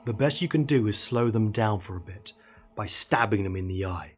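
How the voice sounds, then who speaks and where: Male horror storyteller, 30s, ominous and theatrical, very varied intonation, faint dark ambience behind. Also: lowish voice, expressive, slight background noise. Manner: theatrical, ominous, deliberate emphasis, dropping to hushed tones. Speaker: horror storyteller, show host. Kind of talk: dramatic creepypasta narration. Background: dark ambient music.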